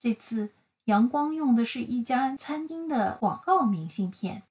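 A woman reading a children's picture book aloud, with careful, deliberate and expressive phrasing. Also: a little high. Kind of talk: slow bilingual children's story read-aloud.

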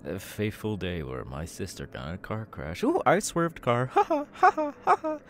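A man's voice: on the low side, huge pitch swings, moderate pace, a bit bright, a little hiss or hum, pitched above the performer's own voice. A man playing an unhinged young killer with tics and a stutter, stammering, muttering and yelping out sudden words in an ASMR audio roleplay. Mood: manic, unhinged. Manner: stammering, sudden yelped outbursts, muttered asides.

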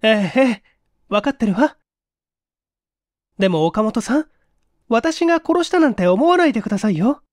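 Man voicing urgent secretive woman, raised pitch. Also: animated, no echo, clean studio sound. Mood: urgent pleading. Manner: hurried, polite feminine speech. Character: bold, secretive young woman pleading softly and urgently. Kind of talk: audiobook character acting.

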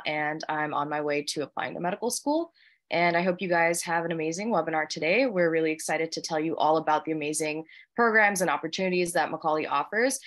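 Enthusiastic low-voiced young woman. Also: a bit bright. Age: 20s. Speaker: panelist. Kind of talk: upbeat introduction. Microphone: webcam mic on video call.

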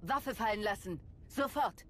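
A woman playing a steady Republic hero in a video game, firm and composed. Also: mid-range voice, unhurried, a little hiss or hum. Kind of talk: dubbed video game cutscene acting.

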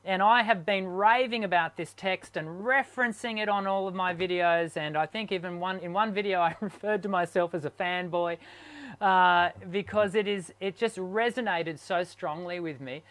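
A man's voice: high voice, normal speed, a bit bright. A man hosting a live online interview from his home studio, talking eagerly with plenty of ums and restarts. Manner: enthusiastic, chatty with many fillers. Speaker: host. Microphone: webcam on video call.

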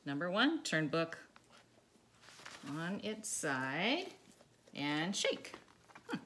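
Animated, bright-voiced middle-aged woman reading storytime. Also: lowish voice, very slow. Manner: animated, sing-song, coaxing with questions. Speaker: therapy dog owner reading a storybook aloud. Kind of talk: children's read-aloud, talking to a dog and young viewers. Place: living room by a stone fireplace, indoors. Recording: clearly noisy home recording.

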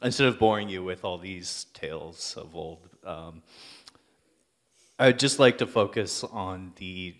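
A man's voice: low voice, fairly bright tone. A young man giving a best man toast with dry, self-deprecating humor. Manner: dry self-deprecating humor, laughs at his own jokes. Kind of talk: wedding toast speech.

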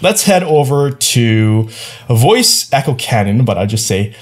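A man's voice: mid-range voice, sing-song, unhurried. Young male tutorial presenter, casual and playful, slangy asides, home screencast feel. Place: small indoor room at home.

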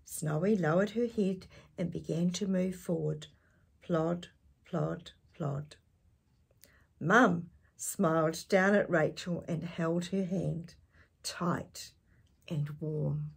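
An older woman with a husky voice reading a picture book expressively to young listeners, adding chatty asides and animated tag questions. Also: fairly low pitch, takes it slowly. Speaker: children's storytime reader. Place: small indoor space with curtain backdrop.

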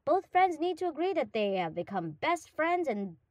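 A woman voices a young dog-girl full of eager affection. Her voice is high and swings up and down with excitement as she gushes and rambles. It is an audio roleplay, recorded very dry and close. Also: normal speed, dry recording. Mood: rambling, hopeful. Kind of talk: roleplay audio drama, acted monologue to the listener.

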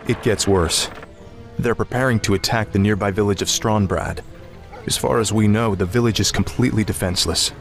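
A man voices a young warrior prince in a fantasy game. He sounds confident and dutiful, informal yet earnest, in a low register with soft music behind him. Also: fairly even intonation, brisk, lightly acted. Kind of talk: fantasy game dialogue acting.

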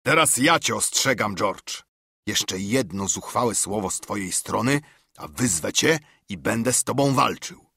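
Casting: a man playing a loud, scornful old pirate boss, lively and husky, for a storybook reading. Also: lowish voice, unhurried, no echo, clean studio sound, heavily acted. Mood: threatening. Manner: mocking, threatening, loud. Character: cunning, domineering older male pirate leader.

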